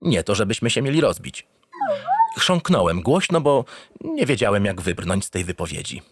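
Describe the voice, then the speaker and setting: An actor playing a naive, self-satisfied, doting spaceship captain who narrates a comic radio drama in an earnest, theatrical, pompous way. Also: male voice, fairly low pitch, very animated, normal speed, no echo, clean studio sound. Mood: excited, earnest.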